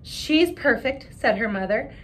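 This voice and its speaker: A woman voicing a doting, reassuring mother mouse in a storybook, emphatic and soothing. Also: measured pace. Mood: fond, reassuring. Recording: steady background noise with low rumble.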